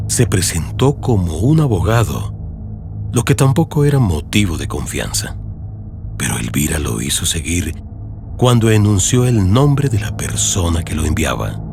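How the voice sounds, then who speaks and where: Deep-voiced Colombian man narrating, expressive. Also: a little slow, creaky, slight background noise. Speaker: audiobook narrator. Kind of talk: scripted literary narration, radio drama. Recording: music bed under narration. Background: music.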